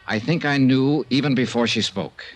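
A man's voice: mid-range voice, normal speed, natural voice. An actor playing a weary, hardboiled male investigator in an old-time radio mystery, wry and clipped, also narrating in the first person. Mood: somber, wry. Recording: noisy old radio drama recording.

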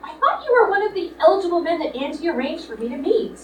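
An actress playing a sharp-tongued, sarcastic young woman in a live radio comedy play. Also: very high voice, big pitch swings, fast. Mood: exasperated. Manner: sarcastic, bantering. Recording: echoey, distant, noisy live stage recording.

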